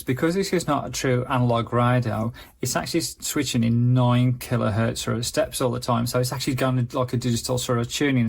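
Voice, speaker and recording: A man's voice: mid-range voice, gravelly. A man giving a casual, unscripted product review, explaining things in a chatty, hedged way. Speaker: gadget reviewer, channel host.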